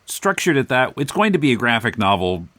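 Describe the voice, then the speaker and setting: A man co-hosting a casual discussion podcast, talking in a measured, somewhat hesitant way. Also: medium pitch, very animated, fairly bright tone, dry recording. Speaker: podcast co-host. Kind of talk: casual discussion of a graphic novel.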